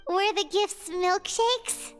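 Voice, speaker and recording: A woman voicing a small, cheeky, excitable fairy companion in a video game, with a squeaky childlike voice and cutesy exclamations. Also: slow pace. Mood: curious, cheeky. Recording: long reverb, music behind.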